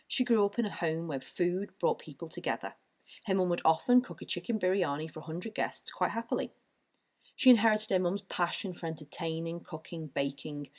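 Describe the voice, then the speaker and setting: A woman co-hosting a podcast, introducing a guest and asking questions in a measured, even way. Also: lowish voice. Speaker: podcast co-host. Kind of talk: scripted guest introduction and chat.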